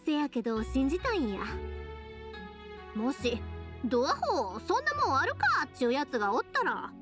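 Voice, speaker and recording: Cheerful young big-sister type, Kansai accent, high voice, animated melody, reassuring, anime game dialogue. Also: female voice, moderate pace, music in the background. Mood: passionate. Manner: lively, reassuring, colloquial. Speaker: video game character voice actor.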